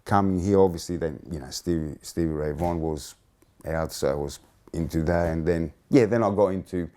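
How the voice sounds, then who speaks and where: A Greek-born man in his fifties with a Greek accent, reminiscing in a casual interview with halting restarts. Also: low-pitched, mellow. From Greece. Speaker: interviewee, blues musician.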